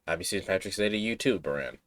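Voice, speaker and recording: A man's voice: sits low, animated, quick pace, fairly bright tone, slight background noise. A man doing casual live stream commentary while reading game text aloud, with offhand asides. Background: game music.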